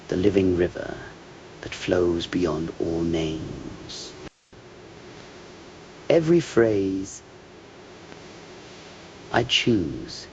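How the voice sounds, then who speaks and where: A man delivering a scripted meditative monologue in a measured, contemplative way, with hushed phrase endings that trail toward a whisper. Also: low-pitched, creaky, highs cut off.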